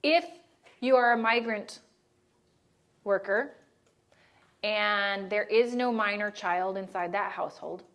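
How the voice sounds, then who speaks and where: A woman's voice: medium pitch, takes it slowly, long pauses, a bit bright. A woman leading a training session on disaster assistance, talking casually and checking in with the group as she goes. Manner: conversational, checks in with "right?" and "okay?". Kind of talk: training presentation.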